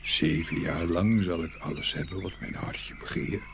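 A hapless, fearful man in an audio fairy tale. His low voice has a gravelly creak and a respectful, hesitant manner. Clear ambient noise runs behind him. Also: steady tone, moderate pace. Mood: pondering, resolved. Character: hapless, fearful man who bargains for riches.

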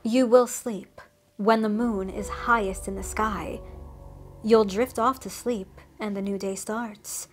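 A woman's voice: medium pitch, fairly even intonation, slow, faint music behind, lightly acted. A woman voicing a friendly male woodland cryptid, an ancient creature with rotting flesh who chats and reassures with wry asides. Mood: chatty. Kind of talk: scripted storytelling monologue.

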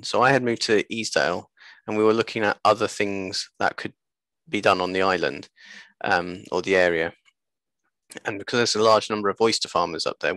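Low, creaky-voiced man chatting. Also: fairly bright tone, no room sound. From born in Japan, later moved away. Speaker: interview guest, seaweed business co-founder. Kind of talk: casual interview chat. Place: small room at home, video call. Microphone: headset on a video call.

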